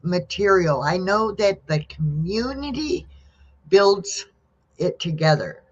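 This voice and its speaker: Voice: mid-range voice, lively intonation, takes it slowly. A host asking rambling questions in a casual chat. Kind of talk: casual conversation. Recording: dull lo-fi audio with faint noise.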